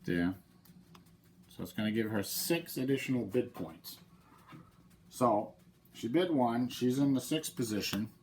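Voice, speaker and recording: A man's voice: mid-range voice, a little slow, long pauses, a little mellow, slight background noise. A man with a head cold hosting his channel, talking casually through a board game playthrough and explaining the rules step by step. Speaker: channel host.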